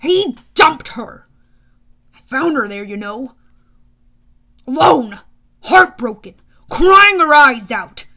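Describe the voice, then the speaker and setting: Bir kadının canlandırdığı kibirli, tehditkâr ölümsüz tanrı. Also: female voice, sits high, very animated, bright, forceful tone, heavily acted. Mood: sardonic. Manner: sneering, slow deliberate pompous delivery. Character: haughty, bitter, menacing immortal male wine god. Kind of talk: audiobook character voice.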